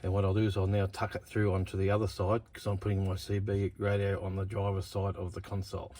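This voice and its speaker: A man's voice: fairly low pitch, a little mellow, slight background noise. A man presenting a hands-on DIY how-to in a plain, matter-of-fact, step-by-step way.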